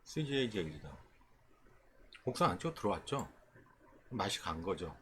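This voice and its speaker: A man's voice: deep voice, sing-song, moderate pace, pushed and bright, slight background noise. A man giving an informal, explanatory tutorial as an instructor, often checking in with short rhetorical questions. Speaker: stock trading instructor. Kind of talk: informal screen-share tutorial explaining stock charts.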